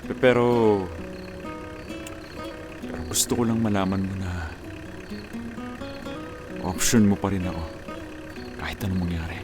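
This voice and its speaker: A low-pitched man in love, played by an actor, earnest and a little halting as he courts his old screen partner in a radio drama. Music plays clearly behind him. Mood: earnest, tender. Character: earnest, lovelorn former screen partner of a doctor.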